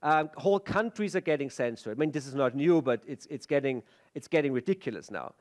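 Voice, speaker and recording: A man's voice: medium pitch, animated, a little fast. A middle-aged man with an Austrian German accent, a native German speaker, giving a chatty, self-deprecating conference talk on a stage, full of fillers and restarts.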